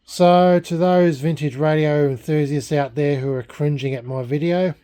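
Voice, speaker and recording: A man's voice: on the high side, fairly even intonation, moderate pace, mellow. An Australian man chatting casually in a vlog, rambling and self-deprecating as he talks in a blunt way. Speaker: vlogger restoring a vintage radio.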